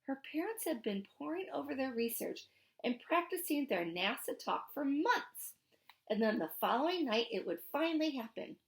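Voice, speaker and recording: Woman, high-pitched voice, very lively intonation, expressive storybook read-aloud, emphatic phrasing for children. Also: moderate pace. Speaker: teacher reading a children's book aloud.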